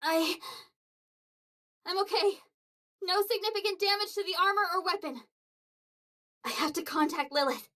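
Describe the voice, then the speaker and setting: A woman's voice: very high-pitched, normal speed, pauses often, bright, forceful tone. A woman playing a timid, easily flustered young female android soldier in a video game dub, with a hesitant, stammering delivery. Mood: worried, self-talking.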